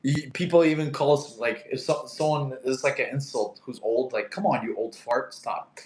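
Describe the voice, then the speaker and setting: A man's voice: medium pitch, moderate pace, slight background noise. A man in his thirties whose first language is Russian, casually chatting on a livestream, reading comments and laughing often. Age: 30s. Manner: laid-back, chatty, laughs often, talks to his cat. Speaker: livestream host. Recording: echoey room.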